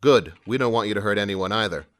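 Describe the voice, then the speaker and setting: A man playing a calm, patient police sergeant in an audio drama, heard through a telephone filter. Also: lowish voice, quick pace. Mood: calm, puzzled. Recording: noisy telephone line.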